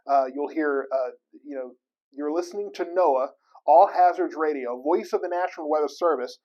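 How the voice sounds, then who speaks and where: An American man hosting a tips segment, explaining things casually to the viewer in a conversational, halting, folksy way. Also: fairly high pitch, dark tone, highs cut off. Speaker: host of weather tips segment.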